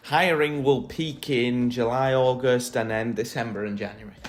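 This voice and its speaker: A man's voice: mid-range voice, fairly even intonation, normal speed, slight background noise. A man co-hosting a casual scripted chat, adding wry, playful asides. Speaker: co-host.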